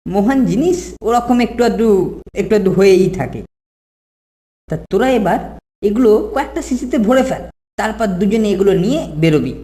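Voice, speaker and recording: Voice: medium pitch, expressive, brisk, dark tone, lightly acted. An actor playing a bossy, boastful older hostel boy in a children's cartoon, pompous and scheming. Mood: conspiratorial. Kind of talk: exaggerated children's cartoon acting. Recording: music behind the voice.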